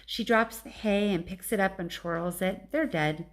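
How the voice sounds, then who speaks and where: A woman narrates a children's book in the manner of a teacher reading to pupils. Her voice sits at a middle pitch as she tells the story. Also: steady tone, moderate pace. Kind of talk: storybook read-aloud narration.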